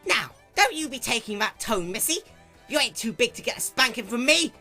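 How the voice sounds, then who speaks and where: A man's voice: extremely high pitch, lively intonation, fairly quick, bright, forceful tone, soft background music, voice pushed up, exaggerated voice. A man voicing a cranky, distrustful old granny pony, snapping in folksy phrases for a story reading. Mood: indignant.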